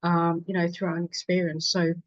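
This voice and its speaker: A woman's voice: lowish voice, level tone, fairly quick, no room sound, muffled recording. A woman with a South African accent presenting her charity in a webinar, hesitant with many fillers. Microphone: video call.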